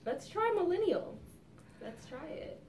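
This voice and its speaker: A woman's voice: extremely high pitch, very animated, a little slow, crowd noise. A young woman in casual, teasing banter during a family game, recorded in a living room from a distant camera. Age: young adult. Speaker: vlogger playing a family party game. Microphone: camera a few metres away. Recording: roomy, distant camera mic, faint noise.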